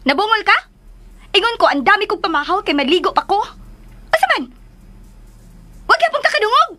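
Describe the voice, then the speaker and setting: A woman's voice: extremely high pitch, sing-song, brisk, bright, forceful tone, heavily acted. An actress playing an assertive, haughty young woman in a radio drama, with a pointed, demanding way of talking. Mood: bossy, irritated.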